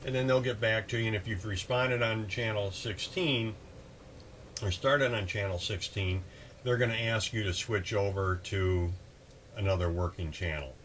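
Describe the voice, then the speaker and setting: A man with a fairly low voice hosts a casual how-to talk on boating. He speaks conversationally and practically, as if walking a viewer through the steps. There is some ambient noise behind him. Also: moderate pace. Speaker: host of a boating channel. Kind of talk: casual instructional talk with example radio calls. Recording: clear steady background ambient noise.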